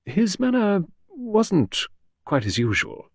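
A man's voice: medium pitch, sing-song, normal speed, no echo, clean studio sound, voice pushed up. A man voicing a cool, brusque young woman secretary in an audiobook, with precise, faintly scornful speech. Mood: hesitant recall. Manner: crisp, precise, prompt, scornful. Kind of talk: audiobook character reading.

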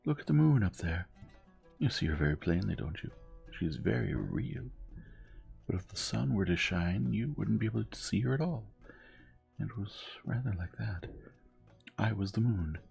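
Bitter jilted young woman, high and raised, slips into breathy whispers, acted by a man. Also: animated, normal speed, pauses often, a little mellow, faint music behind. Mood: passionate. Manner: intense, breathy whispers between outbursts.

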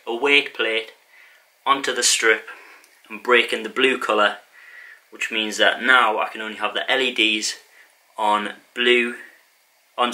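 A man's voice: fairly low pitch, pushed and bright. Chatty young man vlogging in a home gym. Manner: chatty, rambling, self-deprecating. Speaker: fitness vlogger. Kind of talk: casual vlog talk to camera. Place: small home garage gym, indoors. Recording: noisy home camera audio.

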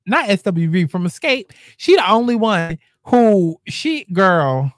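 A young woman, reacting to clips in loud, brash, joking casual commentary, swearing often. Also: medium pitch, very animated, a little slow, no echo, studio quality.

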